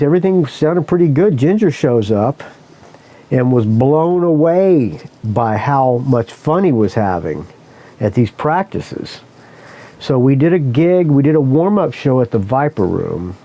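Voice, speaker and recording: A man's voice: mid-range voice, expressive, unhurried, dark tone. A man hosting a podcast, telling a story in a casual, rambling way, correcting himself often and swearing freely. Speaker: podcast host.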